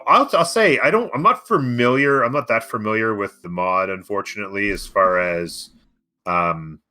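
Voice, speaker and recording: A man's voice: lowish voice, bright, forceful tone. A man co-casting a strategy game in a casual style, thinking aloud as he explains. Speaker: co-caster of a strategy game match. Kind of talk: casual live game commentary.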